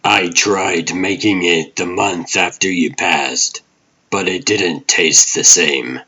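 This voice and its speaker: A man's voice: deep voice, takes it slowly, bright, forceful tone, husky, dull audio, full character voice. A man playing a brooding, grieving masked vigilante hero in a comic dub, grave and solemn. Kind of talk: fan comic dub acting.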